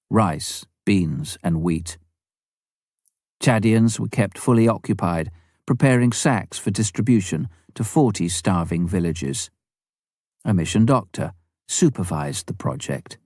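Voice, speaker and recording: A man with a low voice narrates a memoir in a measured, formal way. The recording is close and dry, with very little room sound, as suits an audiobook reader. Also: a little mellow, no room sound. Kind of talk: scripted narration, reading a memoir aloud.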